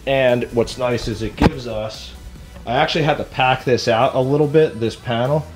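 A man in his thirties talking casually to camera in a kitchen, explaining his renovation work step by step. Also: mid-range voice. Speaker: host, DIY home renovator. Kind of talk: casual vlog talk while working. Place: indoors, kitchen under renovation. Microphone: handheld camera at arm's length.

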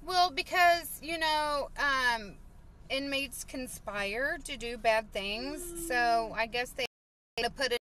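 A woman's voice: high voice, slow pace, bright, forceful tone, a little hiss or hum, low-quality recording. A woman in her thirties chatting casually on a live stream from a parked car, reading viewer comments with lots of fillers, recorded on a phone at arm's length. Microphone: phone held at arm's length.

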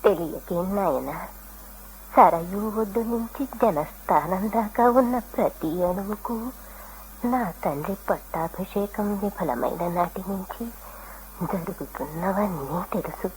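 An actress playing a frail, devoted old woman hermit in a radio drama, speaking tenderly and reverently with deep feeling. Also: close to an everyday voice. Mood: sorrowful. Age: older. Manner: tender, reverent, emotional delivery. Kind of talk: classical Indian radio drama acting. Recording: noisy old radio broadcast recording.

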